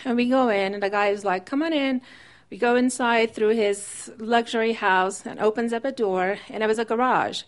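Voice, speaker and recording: A woman's voice: on the high side, fairly even intonation, moderate pace. A woman from Afghanistan telling a personal story on stage in a conversational, wry way with restarts.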